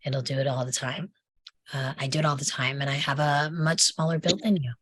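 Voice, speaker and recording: A woman's voice: extremely low pitch, fairly even intonation, fairly quick, fairly bright tone, husky, dry recording, heavily acted. A woman in a comedy table read playing a poised, wealthy, condescending friend in a breezy, patronizing way.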